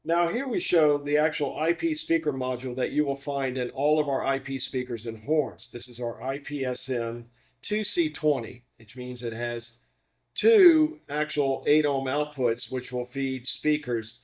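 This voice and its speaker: A man's voice: mid-range voice, measured pace. A man presents a piece of hardware as a company demonstrator. He speaks in a mid-range voice and takes things methodically, explaining each part in turn. The delivery is that of a practical instructional walkthrough.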